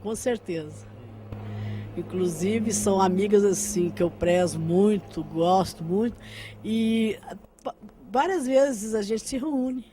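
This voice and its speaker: A woman's voice: medium pitch, mellow. A woman whose first language is Arabic, giving personal recollections as an interview guest, earnest with hesitant restarts.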